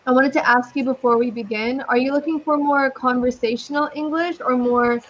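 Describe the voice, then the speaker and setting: A woman's voice: sits high, steady tone, normal speed, dull audio, slight background noise. Upbeat young Californian woman tutor. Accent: Californian American. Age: young adult. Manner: upbeat, chatty, uses casual slang. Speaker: online English tutor. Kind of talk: casual video-call lesson. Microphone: video call.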